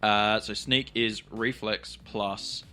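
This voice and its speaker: A man playing a tabletop role-playing game, improvising his narration casually in halting bursts. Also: fairly low pitch, fairly even intonation, sharp tone, soft background music.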